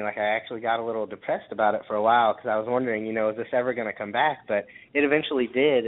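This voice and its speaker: A man chatting casually as a musician guest in an interview. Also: fairly low pitch. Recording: thin phone line, radio show.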